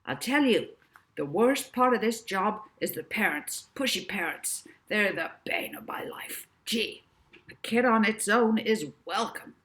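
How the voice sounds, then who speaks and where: A woman's voice: medium pitch, lively intonation, measured pace, fairly bright tone, voice pushed up. Woman playing a gushing, brash male showbiz agent. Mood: weary, candid. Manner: gushing, slangy, showy. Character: brash fast-talking male showbiz agent, effusive and treacly. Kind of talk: audiobook character voice.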